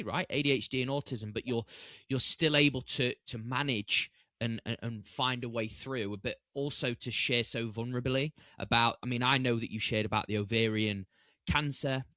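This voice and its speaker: A man's voice: mid-range voice, bright, forceful tone, no room sound, studio quality. A man hosting a podcast interview, talking casually with many fillers and restarts. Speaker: podcast host.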